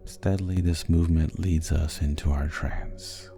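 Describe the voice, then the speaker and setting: A man narrating a guided sleep hypnosis in a soothing, gentle and measured manner. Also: extremely low pitch, steady tone, faint music behind.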